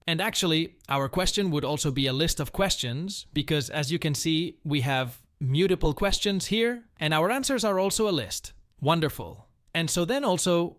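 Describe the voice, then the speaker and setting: A man's voice: on the high side, no echo, clean studio sound. A man presenting a programming tutorial, talking through each step and the reason behind it in an instructional way. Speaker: coding tutorial host. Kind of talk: step-by-step screen-recorded programming walkthrough.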